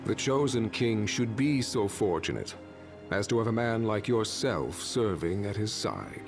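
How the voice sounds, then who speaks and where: A man's voice: on the low side, lively intonation, unhurried, over music. A man playing an ancient, solemn warrior spirit in a video game, speaking in grand, archaic, formal phrases. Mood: solemn, grave. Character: ancient solemn warrior spirit, one-armed male blade master. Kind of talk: video game cutscene acting.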